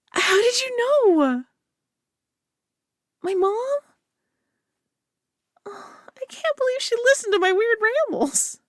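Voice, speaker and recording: A woman's voice: extremely high pitch, very animated, takes it slowly, long pauses, no room sound, clean studio sound, full character voice. A young woman voicing a nerdy friend who rambles eagerly about her tabletop hobby, full of "like" and giggles, in a scripted roleplay. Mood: overjoyed squealing. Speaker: voice actor in an audio roleplay. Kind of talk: scripted roleplay monologue to the listener.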